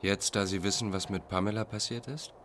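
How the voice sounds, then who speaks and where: Low-voiced brazen male intruder played by an actor. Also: fairly even intonation, fairly quick, fairly bright tone, slight background noise, natural voice. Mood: brazen, insistent. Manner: insistent, brazen, formal address. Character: menacing male fugitive, insinuating, pleading, obsessive intruder. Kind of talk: German radio play acting.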